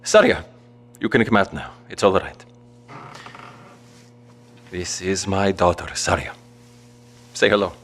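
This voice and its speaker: An actor playing a gentle, devout father and wary survivor in an audio drama, speaking in a measured, formal, polite way. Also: sits low, slight background noise. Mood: calm, polite.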